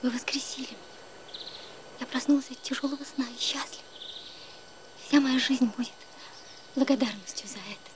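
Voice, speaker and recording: An actress playing an ardent young woman who pleads in a hushed, fervent voice. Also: sits high, moderate pace, long pauses, slight background noise, lightly acted. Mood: hushed rapture. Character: ardent young woman pleading to start a pure new life. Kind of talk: film drama acting.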